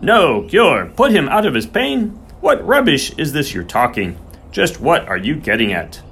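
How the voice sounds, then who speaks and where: A man's voice: on the low side, animated, fairly quick, fairly bright tone, husky. A man voicing a sneering, bad-tempered horse-keeper in an audiobook, snarling and sarcastic. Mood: furious, incredulous.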